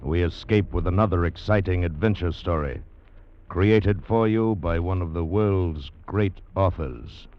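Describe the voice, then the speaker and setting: An American man narrating 1940s radio suspense in a slow, dramatic, ominous delivery. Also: sits low. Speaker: announcer and narrator. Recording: muffled vintage radio recording with music. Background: dramatic music.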